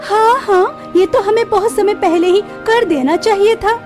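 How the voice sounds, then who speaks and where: A woman's voice: very high voice, unhurried, music in the background. A woman playing a spiteful, scornful aunt who accuses and bullies in a melodramatic cartoon story. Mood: scornful, angry. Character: spiteful scolding aunt, cruel household bully.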